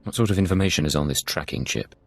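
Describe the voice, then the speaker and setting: An actor playing a cool, terse undercover agent in a video game. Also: male voice, very deep voice, animated, quick pace, creaky, breathy edge, no echo, full character voice. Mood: cool, probing.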